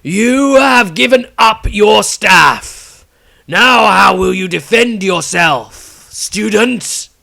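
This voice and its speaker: A man voicing an old, mocking wizard patriarch in a fantasy audiobook, speaking in a loud, slow, theatrical declamation. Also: high voice, lively intonation, vocal fry, pitched above the performer's own voice, heavily acted. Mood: taunting.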